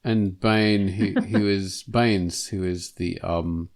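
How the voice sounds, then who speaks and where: Male podcast host, relaxed slow delivery, playful banter. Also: deep voice. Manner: jokey, laughs often. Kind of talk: casual chat.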